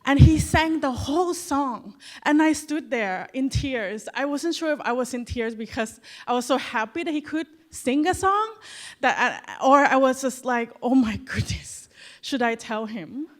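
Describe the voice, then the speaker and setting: A woman's voice: high-pitched, lively intonation, measured pace. A woman from Thailand speaks on a conference panel, sharing a personal story. Her delivery is earnest and conversational, with frequent asides to the audience.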